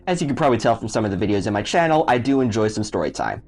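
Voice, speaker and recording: A man's voice: lowish voice, animated, rapid-fire, a little mellow. A man reading a scripted explanation as a video creator, in an explanatory, step-by-step way. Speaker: video creator explaining his storytelling method. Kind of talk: scripted narration.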